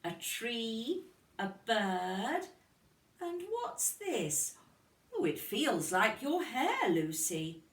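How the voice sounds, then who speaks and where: A woman's voice: a little high, sing-song, extremely slow, pitched above the performer's own voice, full character voice. A woman reading a children's storybook, voicing a loving, delighted old grandfather in an affectionate, wondering way. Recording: roomy, distant microphone.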